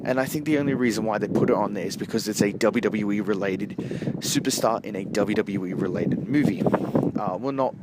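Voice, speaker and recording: A man giving a casual, rambling amateur movie review in a halting way, often rephrasing himself, recorded outdoors while walking along a street. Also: on the low side. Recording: noisy outdoor street with traffic. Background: street and vehicle noise.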